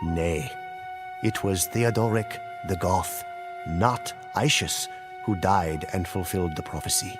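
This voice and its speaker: Grave, low-voiced storyteller over music. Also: male voice. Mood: grave, dramatic. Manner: solemn, theatrical, deliberate. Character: grave male storyteller recounting ancient wars. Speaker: game cutscene narrator. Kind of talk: dramatic scripted storytelling narration.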